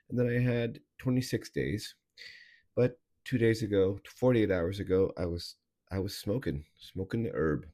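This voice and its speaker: A man talking in a halting, casual confessional monologue, his voice raspy and nasal from a hangover, with many fillers and restarts. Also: on the low side, warm tone. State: hoarse, nasal from a weed hangover. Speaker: vlogger.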